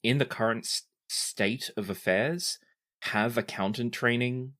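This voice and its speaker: A man's voice: mid-range voice, a little slow, fairly bright tone, lightly acted. A man voicing a helpful, earnest male organizer in a casual, slightly tentative way.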